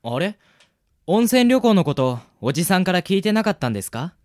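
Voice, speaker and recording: A man playing a polite, unflappable teenage shop manager in an amateur radio drama, speaking gently and matter-of-factly. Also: high-pitched, very animated, a little fast, dry recording, clean studio sound. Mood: calm, matter-of-fact.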